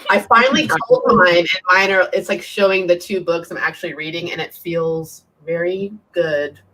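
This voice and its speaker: A woman's voice: lowish voice, fairly even intonation, moderate pace, sharp tone, slight background noise, poor audio. A woman talking casually as a guest in a live group chat about books. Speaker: guest on a live book show.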